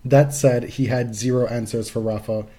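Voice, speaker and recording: Mellow-voiced man chatting about sports. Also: mid-range voice, fairly even intonation, moderate pace. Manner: emphatic, frequent profanity. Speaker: podcast co-host. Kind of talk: casual sports talk, opinionated commentary.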